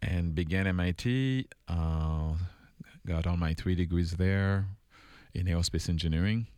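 A middle-aged man from Haiti, a guest in an interview, telling his story in a measured, reflective way with many pauses and fillers. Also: low-pitched, darkish tone, clean tone, no room sound. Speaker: engineering dean, guest.

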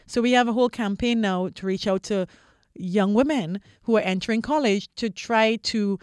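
A woman's voice: medium pitch, normal speed, darkish tone, smooth, dry recording, studio quality. A woman from Trinidad speaking as an interview guest, explaining things in an articulate, persuasive way with repeated phrases for stress. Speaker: interview guest, corporate diversity executive.

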